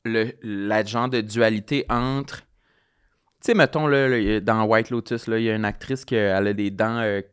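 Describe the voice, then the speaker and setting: A man in his late thirties with a Québécois accent, co-hosting a casual podcast and talking in a halting way as he searches for words. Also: lowish voice, fairly even intonation, clean tone. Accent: Québécois French. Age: 30s. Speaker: podcast co-host. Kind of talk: casual chat.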